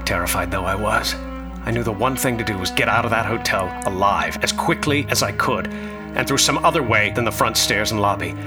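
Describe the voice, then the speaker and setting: An actor playing an anxious, bookish traveler, narrating his ordeal in a radio drama with formal, uneasy wording and a husky voice. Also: on the low side, brisk, music in the background, natural voice. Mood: tense, fearful. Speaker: narrator and lead character. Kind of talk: scripted first-person radio drama narration.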